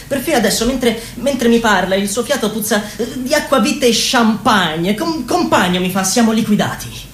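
A woman playing an uneasy government minister telling the story in a radio play, with a halting delivery. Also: a little high, expressive, reverberant, slight background noise, lightly acted. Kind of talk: radio drama monologue.

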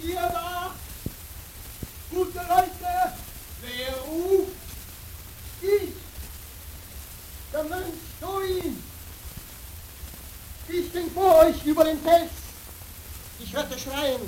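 An earnest wandering monk in a radio play, very high and soft-toned. The imploring words come slowly, in an echoey space with faint noise. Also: female voice, full character voice. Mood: urgent. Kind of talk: radio drama acting.

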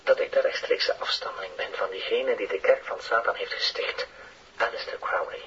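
An actor with a Flemish accent playing a fanatical, solemn young Satanist on a cassette recording in an audio drama, breathy and partly whispering. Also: male voice, on the low side, normal speed, fairly bright tone. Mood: grandiose. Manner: solemn, grandiose, obsessive. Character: fanatical young male Satanist convinced he is chosen. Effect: played back from a cassette recorder. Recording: muffled, noisy cassette tape playback.

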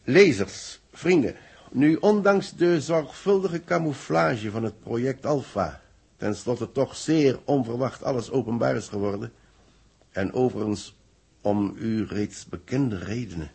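Male, solemn columnist in 1960s radio drama: low, very husky, measured and formal delivery. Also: darkish tone, exaggerated voice. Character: grave male newspaper columnist dictating a farewell report.

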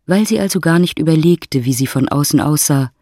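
A man's voice: a little high, brisk, a little mellow, dry recording, studio quality. A man narrating a love story in a literary audio drama. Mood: composed narrating.

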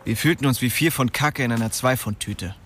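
A man's voice: mid-range voice, a little fast, a bit bright, a little hiss or hum, natural voice. An actor playing a tough, restless young man who tells his own story bluntly and intensely in a radio drama. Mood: grim. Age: young adult. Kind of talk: first-person radio drama narration. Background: music.

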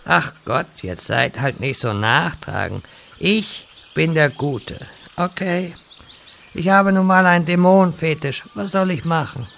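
Man acting as touchy grand wizard, slightly high voice, unhurried, theatrical, slangy when flustered, fantasy parody audio play. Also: gravelly, a bit bright. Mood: sheepish, casual.

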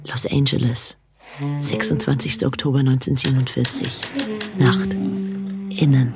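A woman with a low voice narrates a radio drama. Her scripted scene-setting is measured and clipped. Very loud guitar music plays behind her.

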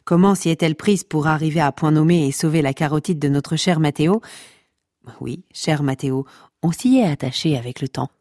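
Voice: mid-range voice, a little fast, darkish tone, dry recording, studio quality, close to an everyday voice. Une personne qui joue la narration omnisciente d'une fiction audio comique, pompeuse, théâtrale, taquine avec son auditoire. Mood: pompous, impatient. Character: pompous, mock-scholarly omniscient narrator addressing listeners. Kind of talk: scripted comic fiction narration.

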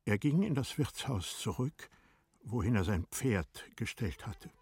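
A man narrating a classic literary radio drama in a measured, formal storytelling manner. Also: mid-range voice, very animated, darkish tone, husky.

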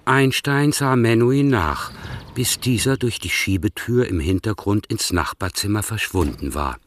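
A man narrating a radio drama in calm, even scripted storytelling. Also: lowish voice, moderate pace, slight background noise.

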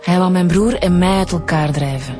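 An actress with a Flemish accent playing a stubborn, guarded daughter under questioning in a radio drama. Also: female voice, lowish voice, moderate pace, over music, close to an everyday voice. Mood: stubborn, bitter. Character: murdered doctor's evasive, stubborn daughter.

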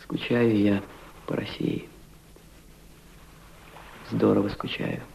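A man's voice: lowish voice, little pitch movement, slow, gravelly, darkish tone, full character voice. An actor playing an earnest, lovestruck, homesick Russian man in a radio play, hesitant and pleading. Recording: dull, hissy old radio drama recording.

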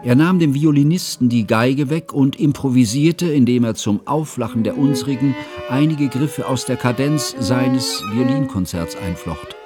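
A man acting as an educated, observant narrator in a radio play, speaking in formal, ornate and deliberate phrases. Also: medium pitch, dark tone, faint music behind, natural voice. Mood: measured, observant. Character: educated male first-person narrator, measured, observant friend.

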